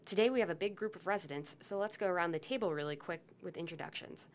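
A woman physician hosting a medical teaching podcast, upbeat and brisk. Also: lowish voice, slight background noise. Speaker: host, physician.

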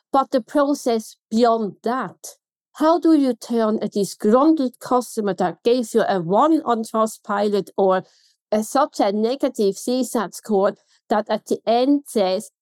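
A woman's voice: mid-range voice, dark tone, no echo. Emphatic Swiss woman with a German accent. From Switzerland. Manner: emphatic, pointed rhetorical questions. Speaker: guest consultant. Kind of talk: podcast interview.

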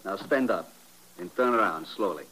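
An actor playing a cool, menacing killer in a 1950s radio drama, controlled and quietly threatening. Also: male voice, low voice, normal speed, husky, heavily acted, poor audio. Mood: controlled, commanding. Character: cool, menacing male killer holding a gun. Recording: vintage 1950s radio broadcast.

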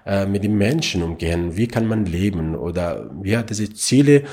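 A man from Afghanistan, answering interview questions in halting, broken German with many fillers. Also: low voice, expressive, a little mellow. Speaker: refugee youth helper.

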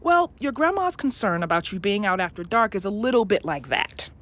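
A woman playing a loving, patient, academic mother in an audio drama, explaining things gently and thoughtfully, with some hesitation. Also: medium pitch, very animated, brisk. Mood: thoughtful, hesitant.

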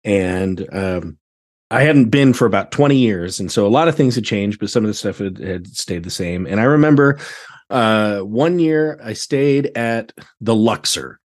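An American man chatting casually as a podcast guest, telling anecdotes in an animated, exclamatory way. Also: lowish voice, measured pace. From United States. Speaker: podcast guest, theatre director.

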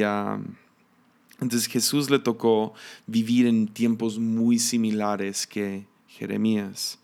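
A man's voice: on the low side, vocal fry. A man giving an informal Bible lesson, hesitant, with many filler sounds and restarts. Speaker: Bible teacher.

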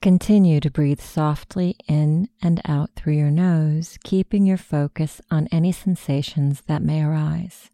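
Very mellow, low-voiced woman guiding meditation. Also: steady tone, a little slow, hardly any pauses, dry recording, clean studio sound. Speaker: meditation guide. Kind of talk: guided meditation narration.

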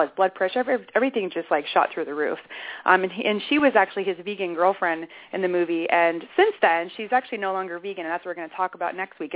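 A woman hosting a health radio talk show, chatting loosely with many fillers and restarts. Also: medium pitch. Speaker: radio show host, clinician. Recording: tinny phone-like sound.

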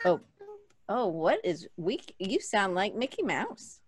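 A woman's voice: mid-range voice, sing-song, slow, breathy edge. A woman hosting a group video call, playful and joking as she hands the turn to each guest. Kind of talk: casual chat.

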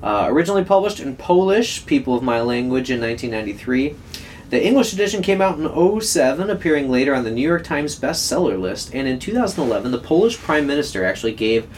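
Casual male podcast host, middle-pitched, self-mocking, runs the conversation. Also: sing-song, fast, a little hiss or hum. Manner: self-deprecating, joking, leads the discussion. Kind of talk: casual book club chat.

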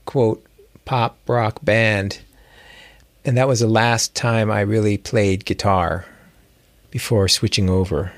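A man's voice: lowish voice, dry recording. A man from Hawaii telling stories casually as a guest musician and teacher, reflective and often rephrasing as he goes. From Hawaii, United States. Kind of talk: casual interview storytelling.

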